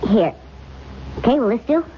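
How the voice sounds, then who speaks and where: Woman acting a lively, sardonic skeptic. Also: fairly high pitch, natural voice. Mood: indulgent. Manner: sardonic, brisk retorts. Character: wry, skeptical, unflappable female companion of a scholar. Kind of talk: 1970s radio drama acting. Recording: dull old radio recording with faint noise.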